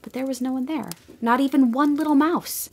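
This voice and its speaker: A woman giving scripted storybook narration for a radio play, gentle and expressive, sometimes dropping to a hush. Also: high-pitched, a little fast, slight background noise.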